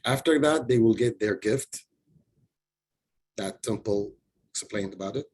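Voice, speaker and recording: A man's voice: fairly low pitch, a little slow, a little mellow, breathy edge. A man from a community coalition walking webinar listeners through a process step by step. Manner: plain step-by-step explanation. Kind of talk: webinar presentation.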